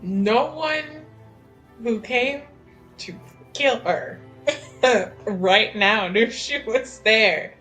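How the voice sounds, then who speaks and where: A woman's voice: on the high side, very slow, pauses often, a bit bright, soft background music, low-quality recording. A woman playing a tabletop roleplaying game, casually and hesitantly thinking her way through the story.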